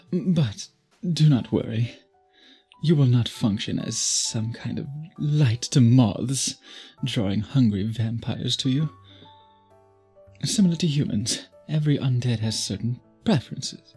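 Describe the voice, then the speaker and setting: Man voicing a courtly vampire lover, very breathy and husky, formal old-world phrasing, intimate, possessive, ASMR roleplay, music underneath. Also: medium pitch, takes it slowly, warm tone. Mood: reassuring. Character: courtly, obsessive old-fashioned male vampire suitor.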